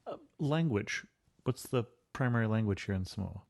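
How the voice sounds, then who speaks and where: A man's voice: fairly low pitch, lively intonation, moderate pace, creaky, darkish tone. A man hosting a travel podcast, asking brief, curious questions and giving short acknowledgements. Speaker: podcast host. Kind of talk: interview questions.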